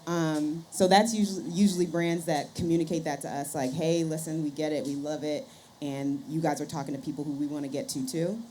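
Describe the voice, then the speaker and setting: Low, mellow-voiced woman on a panel. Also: fairly even intonation, a little fast, a little hiss or hum. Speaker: podcast host as panelist. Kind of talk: conversational panel talk.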